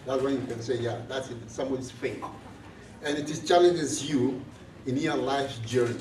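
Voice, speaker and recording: A priest from South Sudan, giving a measured, earnest talk to a student gathering. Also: male voice, medium pitch, darkish tone, slight background noise. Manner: slow, measured, earnest speaking. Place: large room on a college campus. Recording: echoey room from far off.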